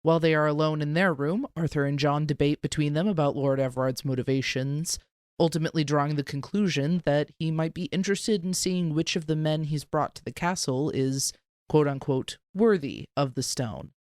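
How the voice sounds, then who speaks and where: A man co-hosting a podcast, chatting casually and recapping in a smooth, clear voice. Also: on the high side, moderate pace, a little mellow, no echo, studio quality. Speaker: podcast co-host. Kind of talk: casual chat with recap narration.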